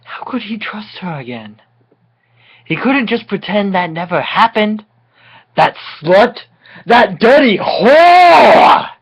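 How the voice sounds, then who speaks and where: A person giving a tipsy, mock-dramatic reading of comic fan fiction, halting and often giggly. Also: medium pitch, big pitch swings, husky. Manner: giggly, frequently breaking into laughter; melodramatic, halting delivery.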